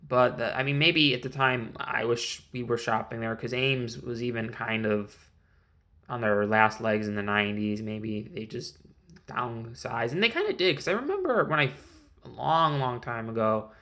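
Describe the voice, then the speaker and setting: A man's voice: medium pitch, animated, moderate pace, sharp tone. A man from Connecticut in his thirties, rambling through a casual solo monologue with many fillers and restarts. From Connecticut, USA. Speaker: vlogger.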